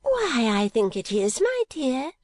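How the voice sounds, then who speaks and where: Woman putting on an arch, high-voiced little lady. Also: huge pitch swings, takes it slowly, a little mellow, no echo, heavily acted. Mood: sly, teasing. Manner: arch, knowing, chatty. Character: sly, teasing little spinster family friend. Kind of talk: audiobook character acting.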